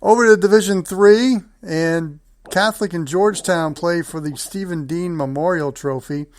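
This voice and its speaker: A man's voice: sits high, normal speed. A man hosting a sports show, running through matchups and results in conversation with a guest.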